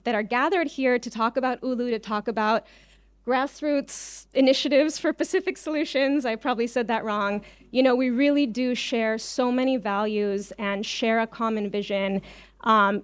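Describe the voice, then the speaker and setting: A woman's voice: on the high side, smooth, no room sound. A woman from Israel giving an informal conference presentation, speaking conversationally with frequent ums and personal asides. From Israel, early childhood on a kibbutz. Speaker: farmer cooperative leader, invited conference speaker.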